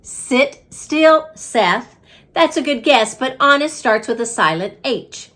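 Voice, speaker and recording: A woman voicing a brisk female schoolteacher in a storybook, firm but encouraging as she instructs children. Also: high-pitched, animated, fairly bright tone, pitched above the performer's own voice, full character voice.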